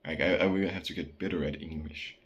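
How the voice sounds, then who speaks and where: A man's voice: a bass voice, fairly even intonation, quick pace, muffled recording. A young man from Denmark with a Danish accent, ranting casually to camera with slang, fillers and restarts. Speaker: vlogger.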